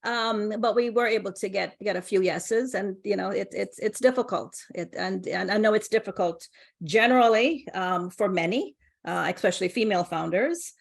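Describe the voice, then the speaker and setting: Middle-aged Jamaican-accented woman, gravelly, chatty, laughing guest. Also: mid-range voice, normal speed. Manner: warm, laughing often, many restarts. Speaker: co-founder and guest. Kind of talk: casual interview conversation.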